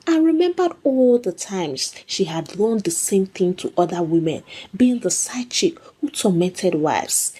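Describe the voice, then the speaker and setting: A woman's voice: fairly low pitch, darkish tone, husky. A woman storyteller reading a scripted folktale as dramatic narration.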